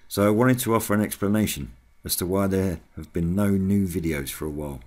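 A man's voice: low-pitched, fairly quick. A British man hosting a hobby video channel, giving a scripted voice-over update to his viewers.

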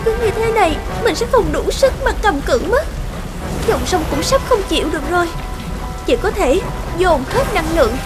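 A woman voicing a young, anxious river goddess who protects her waters, speaking earnestly in an animated fairy-tale dub. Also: very high-pitched, normal speed, music in the background, poor audio. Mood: strained, desperate. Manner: earnest, dramatic self-talk.

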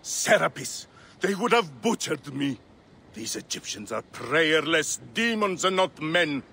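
A haughty, frightened male priest in a video game cutscene. The voice swings widely in pitch with a creaky, gravelly edge, indignant and melodramatic. It rings in a long reverb. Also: lively intonation, unhurried, bright, forceful tone, a little hiss or hum, full character voice. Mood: terrified outrage. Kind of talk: dramatic game cutscene dialogue.

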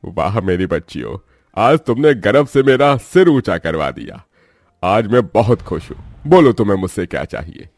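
A man voicing a proud, happy village father in an animated moral story, with hearty exclamations. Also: fairly low pitch, moderate pace, dry recording, studio quality, faint music behind. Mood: proud, delighted.

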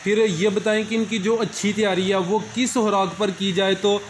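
A man's voice: sits high, flat delivery, normal speed, slight background noise. A man asks questions as an interviewer, politely and a little hesitantly. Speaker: interviewer, channel host. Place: cattle farm.

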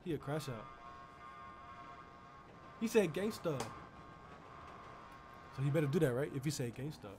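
Young male streamer, lightish high voice, repetitive excitable slang, game and traffic noise clearly behind. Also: measured pace, long pauses. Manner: repeats phrases, excitable. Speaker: streamer, role-play game player. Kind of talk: casual in-game banter, street slang.

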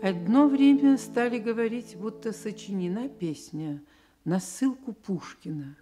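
A woman narrating a radio drama in a measured, literary storytelling manner. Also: medium pitch, expressive, music in the background.

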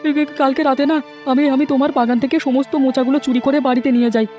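A man puts on a meek young village husband for a fairy tale cartoon. His voice is pitched high, and he talks quickly yet hesitantly, with guilty deference. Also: fairly even intonation, a little mellow, faint music behind.

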